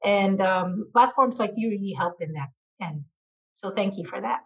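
A woman's voice: medium pitch, animated, normal speed, highs cut off. A middle-aged woman from the Philippines, a marketing executive answering interview questions earnestly with many fillers.

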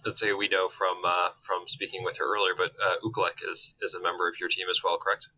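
A man co-hosting a casual podcast, relaxed and stumbling through his sentences. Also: deep voice, quick pace, low-quality recording. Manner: relaxed, stumbling over restarts. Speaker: podcast co-host.

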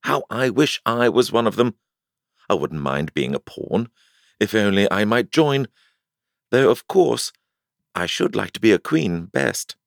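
A man's voice: on the low side, animated, a little slow, creaky, dry recording, studio quality. A man voicing a shy, eager little girl full of wonder in a storybook. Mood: eager, wistful.

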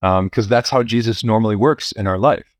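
Young male priest, deep voice, casual storytelling, lots of "um", dry close mic. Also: brisk, no echo. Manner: relaxed, many fillers and restarts, occasional laughs. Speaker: priest and university chaplain.

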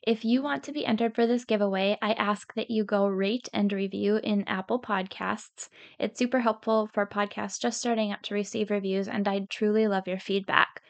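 A woman's voice: mid-range voice, fairly even intonation, normal speed, creaky, hardly any pauses. A woman in her thirties hosting a podcast, tired and a little scratchy, talking sincerely and encouragingly straight to her listeners. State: tired from lack of sleep. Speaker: podcast host. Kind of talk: podcast monologue.

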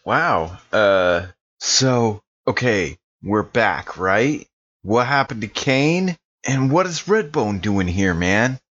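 A man improvises in a role-play podcast with casual, slangy delivery and lively swings in pitch. His audio is dry and a little dull. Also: slow, dry recording. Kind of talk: casual improvised in-character dialogue.

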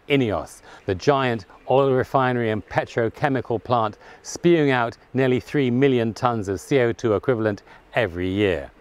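A man hosting a climate justice show, narrating an introduction in a measured way and interviewing activists. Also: mid-range voice. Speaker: podcast host and interviewer.

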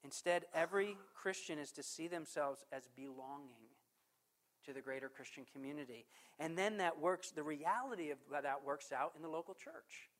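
A man preaching a sermon as pastor. He puts questions to the congregation, slows down to stress scripture, and swings from forceful to hushed. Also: a little high, expressive. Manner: emphatic preaching with rhetorical questions.

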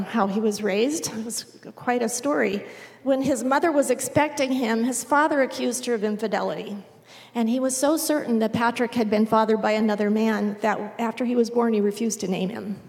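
A woman giving an unhurried missionary report to a congregation, pausing often with "um". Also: fairly high pitch, creaky. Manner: unhurried, with frequent "um" pauses. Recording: long reverb of a large hall.

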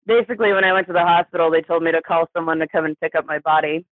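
Through a tinny, phone-like radio sound with very little room to it, an American guest in their thirties talks candidly. The voice is mid-pitched, and the delivery is hesitant, personal storytelling. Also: steady tone, racing, dry recording. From New Mexico, United States. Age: 30s. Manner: hesitant, chuckles through hard subjects. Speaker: interview guest, poet.

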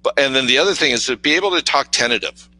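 A man's voice: medium pitch, fast talker, sharp tone, no room sound, rough recording. A man with an authoritative voice, talking as a podcast guest in an emphatic, conversational, explanatory way. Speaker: podcast guest on mindset and communication.

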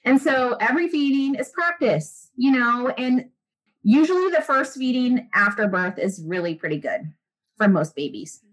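Woman, high voice, earnest chatty guest talking frankly with plenty of "you know"s. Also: expressive, moderate pace, rough recording. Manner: earnest, chatty, lots of fillers. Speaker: guest, breastfeeding expert. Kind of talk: casual interview talk.

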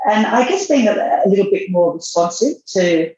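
A woman, a magazine editor answering interview questions in a measured way, thinking aloud as she goes. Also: mid-range voice, lively intonation, darkish tone, a little hiss or hum. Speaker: fashion magazine editor, guest. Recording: roomy, dull lo-fi sound.

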